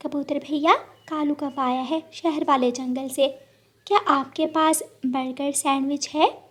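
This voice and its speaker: A kind female village sparrow from a children's cartoon, put on by a woman narrator. She speaks in a high voice, polite and hospitable, explaining things gently. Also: steady tone, unhurried. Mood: polite, earnest. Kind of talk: children's cartoon story acting.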